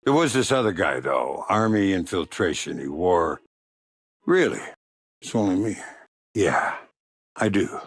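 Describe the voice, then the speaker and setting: A grizzled veteran secret agent from a video game, with an extremely low, raspy voice. He is middle-aged and sardonic, delivering threats and dry wit in the same deadpan way. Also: a little slow, long pauses, heavily acted. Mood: wry. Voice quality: gravelly, husky. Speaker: video game lead character. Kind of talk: dramatic video game acting.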